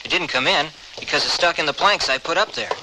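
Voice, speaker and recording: An actor playing a hunted, protective outlaw in a radio western, with an earnest manner. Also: male voice, a little high, quick pace, natural voice. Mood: steady, guarded. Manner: earnest, urgent. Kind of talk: radio western drama acting. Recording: noisy old radio drama recording.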